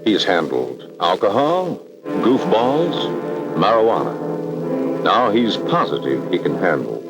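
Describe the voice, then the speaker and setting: A man's voice: sits low, big pitch swings, slow pace, poor audio. An American man narrating a 1960s educational film in a grave, ominous, emphatic scripted style, on a vintage film soundtrack. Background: dramatic background music.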